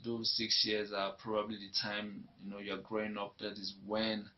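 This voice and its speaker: A man's voice: on the low side, completely flat delivery, moderate pace, bright, forceful tone. A young Nigerian man with a Nigerian accent, telling his life story in an interview in a reflective way that often repeats itself. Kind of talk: personal testimony. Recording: dull lo-fi recording.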